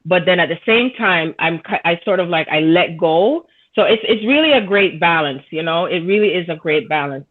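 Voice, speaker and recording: A woman's voice: fairly low pitch, animated, normal speed, few pauses. A woman from Jamaica, a guest on a spiritual talk show, speaking earnestly and rambling with many fillers and restarts. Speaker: podcast guest, spiritual reader. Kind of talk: casual spiritual conversation. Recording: tinny phone-like line.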